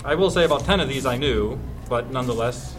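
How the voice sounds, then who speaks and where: A man's voice: medium pitch, quick pace, over music. A man hosting a casual comedic chat, lecturing as a mock teacher and laughing at his own jokes. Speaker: host playing teacher in a trivia game.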